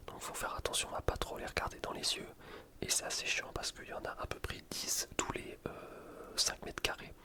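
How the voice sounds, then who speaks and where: A man speaks entirely in a whisper, as in an ASMR gaming video. He comments on gameplay in casual slang, rambling from one explanation to the next.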